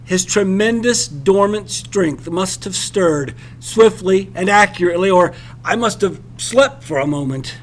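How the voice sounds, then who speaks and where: Male story reader, high-pitched, expressive and deliberate, slight background noise. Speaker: reader of a literary passage. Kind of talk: expressive scripted audiobook-style narration.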